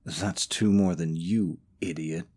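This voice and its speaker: A man's voice: low voice, fairly even intonation, slow, a little mellow, husky, heavily acted. A young man acting in an audio roleplay as a scheming, possessive boyfriend, falsely sweet and coaxing, snide about his rival. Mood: mocking, insistent.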